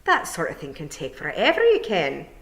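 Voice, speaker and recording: A woman's voice: low voice, huge pitch swings, normal speed, fairly bright tone, full character voice. A woman voicing a small, blustering male pixie warrior chief. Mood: crafty. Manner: blustering, gruff, bossy.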